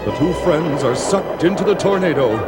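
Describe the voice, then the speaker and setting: A man's voice: a little high, lively intonation, normal speed, loud music behind the voice. A man giving dramatic, declamatory scripted narration for a children's adventure story.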